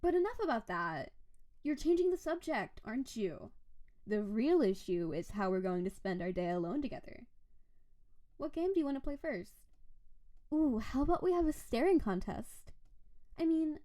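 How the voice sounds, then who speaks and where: Woman acting a high-voiced, clingy snow-spirit wife. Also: sing-song, moderate pace, long pauses, mellow, no echo, clean studio sound. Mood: playful, possessive. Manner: coaxing, theatrical, doting. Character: playful, clingy yandere snow-spirit wife, affectionate and possessive. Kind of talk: scripted audio roleplay addressed to the listener.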